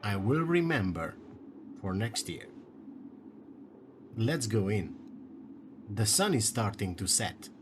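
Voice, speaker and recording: Radio drama: male actor as evasive, polite hotel manager; measured delivery with wide pitch movement, prominent music underneath. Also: sing-song. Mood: smooth, apologetic. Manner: hesitant, courteous, measured. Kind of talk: radio play acting. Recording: loud music behind the voice.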